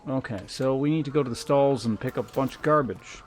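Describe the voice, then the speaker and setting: A man's voice: medium pitch, a little fast. A man giving casual playthrough commentary as a game streamer, offhand and often muttering to himself. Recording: game music behind the voice. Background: game music.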